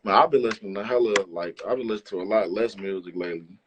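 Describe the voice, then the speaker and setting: A man's voice: fairly low pitch, rapid-fire, a crowd in the background, low-quality recording. A man chats casually as an interview guest, using heavy slang and profanity and repeating himself for emphasis. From Chicago, United States. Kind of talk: casual chat.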